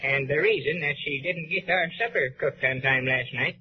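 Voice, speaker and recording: A man's voice: a little high, moderate pace, lightly acted. A man playing a mild but needling small-town detective sidekick with a rural American accent in a 1930s radio comedy. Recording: muffled, noisy 1930s radio recording.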